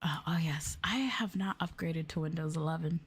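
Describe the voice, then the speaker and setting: A woman streamer talking softly and gently in an ASMR live chat, often dropping into a whisper, close to the microphone. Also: low voice, moderate pace, husky. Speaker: VTuber streamer. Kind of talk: soft-spoken ASMR livestream chat.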